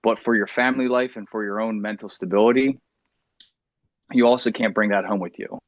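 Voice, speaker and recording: An American man in his thirties with a fairly low voice. He speaks in an earnest, plainspoken way in a casual roundtable, recorded dry and a little muffled. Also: steady tone, a little fast, no echo. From New Jersey, United States. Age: 30s. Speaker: podcast panelist.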